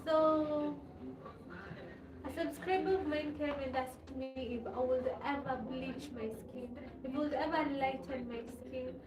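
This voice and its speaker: A high-pitched woman with a Kenyan accent hosts a casual livestream. She rambles, repeating phrases and putting questions to her viewers. Her voice sounds echoey and far from the microphone, with clear noise behind it. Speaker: livestream host talking to her subscribers. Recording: echoey, distant mic, loud background noise.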